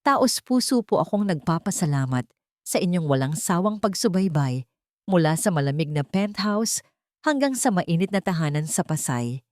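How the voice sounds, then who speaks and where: A woman storyteller narrating a scripted dramatic audio story in deliberate, sentimental short phrases. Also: fairly low pitch, darkish tone, no room sound, studio quality. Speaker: storyteller and channel host.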